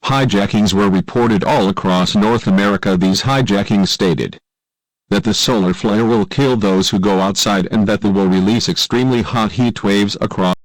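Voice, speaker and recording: A man's voice: on the low side, moderate pace, dry recording, low-quality recording. A man reading a scripted breaking news bulletin.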